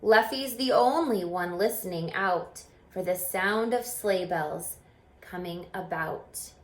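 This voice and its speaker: A woman reading a children's story aloud in an expressive, explaining manner, pausing to put questions to young listeners. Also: on the low side. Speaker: story reader and teacher. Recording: roomy, echoing room sound.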